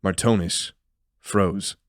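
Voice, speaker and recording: A man's voice: sits low, fairly even intonation, slow pace, no room sound, studio quality. A man reading scripted narration for a fantasy audio drama in a serious, brooding storytelling manner.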